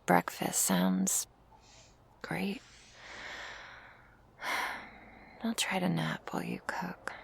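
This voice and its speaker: A woman playing a weary human troubled by nightmares in an ASMR roleplay, drowsy, hushed and breathy. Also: lowish voice, wildly swooping pitch, extremely slow, pauses often, heavily acted. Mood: sleepy. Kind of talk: scripted ASMR roleplay to the listener.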